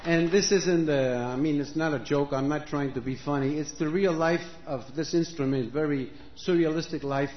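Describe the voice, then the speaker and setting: A man's voice: on the high side, steady tone, moderate pace, hardly any pauses, some chatter behind, a little hiss or hum. A man from Argentina introducing his music to a concert audience from an outdoor stage, switching languages and joking in a loose, conversational way. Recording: muffled live recording with audience.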